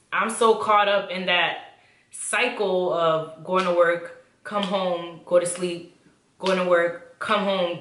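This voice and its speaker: A woman hosts her vlog, talking candidly and with energy. Her voice is bright and forward. The room gives it a noticeable echo. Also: lowish voice. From the Bronx, New York. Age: 20s. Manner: chatty, candid and animated. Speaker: channel host. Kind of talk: casual conversational vlog. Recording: roomy echo.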